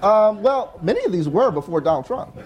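A young adult man on a conference panel, talking earnestly and fast with frequent restarts and "right?" tags. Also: high-pitched, big pitch swings, dull audio. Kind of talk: panel discussion answer. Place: conference hall with audience.

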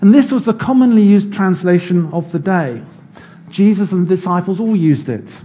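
Man, preacher, sermon, measured teaching, slightly high voice, warm tone. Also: expressive, slight background noise. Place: church.

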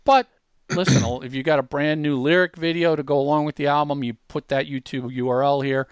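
A man hosting a casual software walkthrough, explaining informally and speaking straight to the listener. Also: fairly high pitch, steady tone, brisk, clean tone, no room sound. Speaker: host demonstrating software.

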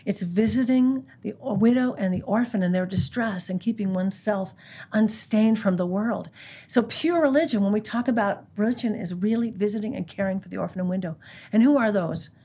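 A woman's voice: mid-range voice, a little fast, mellow, husky. An American woman in her sixties, a guest who leads a pro-life group, talking earnestly in an interview and quoting scripture. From United States. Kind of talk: conversational interview.

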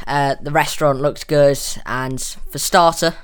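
A man's voice: a little high, steady tone, measured pace, clean tone. A young man chatting casually and a little hesitantly as a podcast host. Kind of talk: casual chat.

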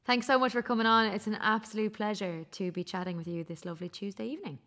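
A woman's voice: mid-range voice, fast talker, fairly bright tone. A woman co-hosting a casual podcast interview, chatty and enthusiastic, with a frequent "like" in her speech. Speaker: podcast co-host.

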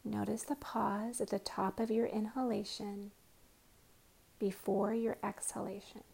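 Woman meditation guide, soft and often whispered, gravelly, flat, unhurried. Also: medium pitch.

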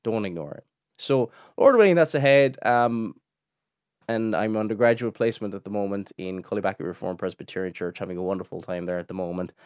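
A man's voice: on the low side, a little fast, darkish tone, clear, resonant tone, no room sound, studio quality. A young man from Cork, hosting a podcast and giving chatty commentary with frequent restarts. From Cork, Ireland. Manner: chatty, restarts often, laughs in asides. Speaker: podcast host. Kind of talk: casual commentary.

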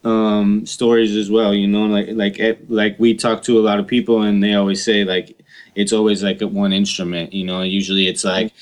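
Low-voiced man in slangy podcast chat. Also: flat delivery, moderate pace, smooth. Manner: slangy, repeats "you know what I'm saying". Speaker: podcast co-host. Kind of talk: casual interview chat.

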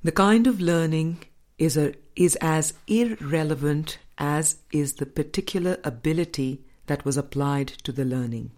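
A woman reading a spiritual book as scripted narration, in a measured, deliberate manner with frequent pauses. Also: sits low, darkish tone. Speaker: audiobook reader.

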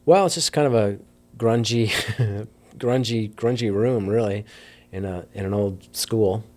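Male collector, slightly deep voice, speaking informally to an interviewer. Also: measured pace, darkish tone. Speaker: record collector interviewed for documentary. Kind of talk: casual interview talk. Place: room in an old school building.

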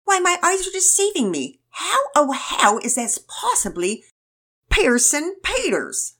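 A woman's voice: sits high, wildly swooping pitch, a little slow, fairly bright tone, pitched above the performer's own voice, full character voice. A woman voicing a jolly great-uncle who puts on a British accent with exaggerated, theatrical surprise for a children's story. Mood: mock astonished. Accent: put-on British. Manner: theatrical, exaggerated mock astonishment. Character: joking great-uncle playing a jolly mock British gentleman.

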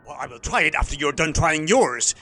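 A blustering cartoon pirate captain, played by a man, pompous and quick to anger. The voice is bright and swoops up and down. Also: fairly high pitch, lively intonation. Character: blustering male pirate ship captain, short-tempered.

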